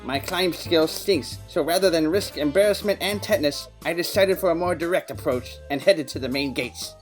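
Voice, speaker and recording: An actor playing a quirky, earnest detective who narrates an audio play in matter-of-fact, nerdy game jargon. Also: male voice, on the high side, moderate pace, soft background music, lightly acted. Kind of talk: scripted first-person audio play narration.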